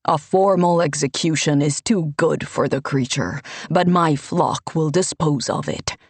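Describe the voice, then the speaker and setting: A woman voicing a sanctimonious, scheming male priest with an oily, pompous delivery, spoken slow and low. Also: expressive, darkish tone, husky, no room sound, full character voice.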